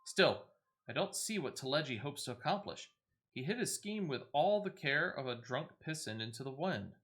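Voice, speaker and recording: A man reading game dialogue as a gruff, blunt seafaring veteran who curses and talks in folksy turns. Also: fairly high pitch, animated, normal speed, fairly bright tone, faint music behind. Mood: bitter, resolute. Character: gruff, salty male seafaring veteran commander.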